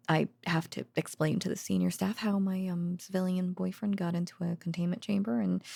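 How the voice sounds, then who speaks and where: Woman acting as a sleepy researcher gf, lowish voice w lots of fry, super close and dry, rambly, wry, teasing but sweet, bedtime audio rp. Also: mellow, no room sound. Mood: affectionate teasing. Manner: hesitant, rambling, sighing, wryly sarcastic. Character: tired, teasing affectionate girlfriend, a researcher at a secret containment foundation. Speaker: voice actor.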